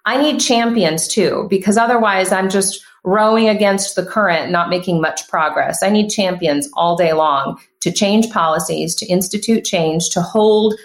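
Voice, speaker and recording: A woman's voice: medium pitch, moderate pace, few pauses. Podcast guest, woman, 30s, punchy conversational delivery, echoey room. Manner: emphatic, animated, frequent restarts. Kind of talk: casual conversational interview.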